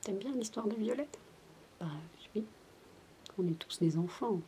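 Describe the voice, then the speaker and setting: A woman in a soft, breathy, mostly whispered ASMR voice, telling a bedtime story as a chatty, hesitant babysitter. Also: medium pitch, expressive, a little slow. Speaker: ASMR roleplayer playing a babysitter. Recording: noisy homemade recording without binaural mic.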